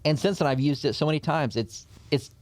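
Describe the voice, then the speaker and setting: A man's voice: a little high, brisk, no echo. Earnest male podcast guest telling stories. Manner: earnest, tells anecdotes. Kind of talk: casual podcast conversation.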